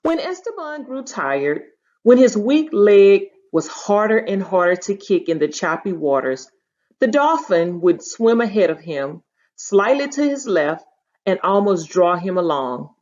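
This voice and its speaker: A woman teacher reading a children's novel aloud in an animated storytelling manner, in a dull lo-fi recording. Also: mid-range voice, a little slow. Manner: animated storytelling, putting feeling into dialogue. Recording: dull lo-fi sound.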